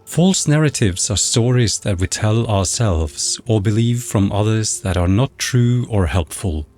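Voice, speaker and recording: A man narrating a guided meditation in a measured, instructional, scripted way. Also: lowish voice, expressive, a little mellow. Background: background music.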